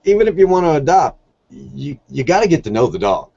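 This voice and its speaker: A man's voice: a little high, sing-song, fairly quick, vocal fry, lo-fi sound, background noise. A man talking casually as an interview guest, telling stories in a plain-spoken, earnest way. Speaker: podcast guest, shelter dog volunteer.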